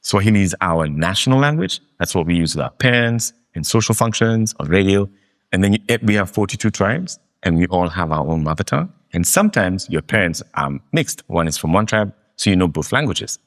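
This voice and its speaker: A man from Kenya, a guest in a fireside interview, telling stories in an animated, emphatic way. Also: sits low, brisk. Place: live meetup venue.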